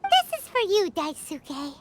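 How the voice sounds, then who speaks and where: A woman's voice: extremely high pitch, wildly swooping pitch, measured pace, background noise, heavily acted. A woman voicing a small, boastful female fairy companion who talks about herself in the third person, over game music. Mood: smug.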